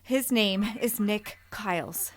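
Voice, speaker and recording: A woman playing a frightened, distressed middle-aged woman in an audio drama, halting and pleading. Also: mid-range voice, slight background noise, close to an everyday voice. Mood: shaken, insistent. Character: frightened, distressed adult woman haunted by a stalker.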